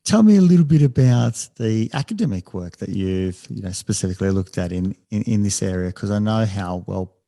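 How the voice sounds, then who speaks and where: A man's voice: fairly low pitch, animated, vocal fry, warm tone, no echo, studio quality. A man hosting a podcast, asking long questions with frequent repeated words and restarts. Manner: halting, repeats words often, enthusiastic. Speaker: podcast host. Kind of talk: interview questions.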